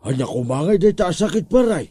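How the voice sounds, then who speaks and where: A man's voice: sits high, big pitch swings, a little fast, mellow, no echo. A man with an Ilocano accent playing a gullible, curious village drinking buddy in a comedy audio drama, drawing out his puzzled questions.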